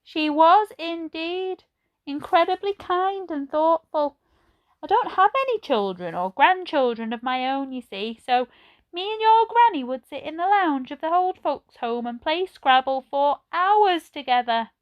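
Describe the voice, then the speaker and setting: A woman voicing a kindly, frail old lady in a children's storybook, speaking gently and fondly in a slow, chatty way. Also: sits high, animated, lo-fi sound, voice pushed up. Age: older.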